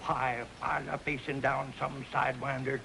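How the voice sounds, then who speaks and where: An actor playing a boastful, excitable old prospector grandfather with a folksy American drawl in a 1950s television western. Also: mid-range voice, moderate pace, gravelly, slight background noise. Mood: blustering. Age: older. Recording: old TV soundtrack with background music.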